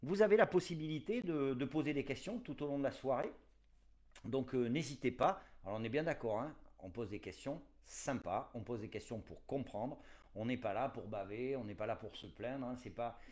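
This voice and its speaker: A man hosting a live interview show from home, talking in a relaxed, chatty way with many fillers and playful asides. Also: mid-range voice, normal speed. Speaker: host.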